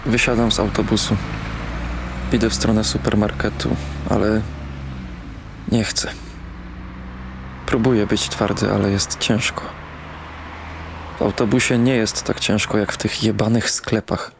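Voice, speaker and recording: Casting: brooding young man, audio drama lead, low deadpan delivery, vehicle noise behind. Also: unhurried, gravelly, long pauses. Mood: weary, struggling. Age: young adult. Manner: weary, terse, deadpan, swearing. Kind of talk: audio drama first-person narration and dialogue.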